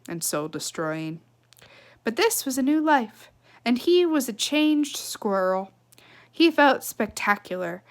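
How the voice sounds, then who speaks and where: Woman, fairly high voice, children's storyteller, expressive and emphatic, casual asides. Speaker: read-aloud storyteller. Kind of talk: reading a children's novel aloud with casual asides.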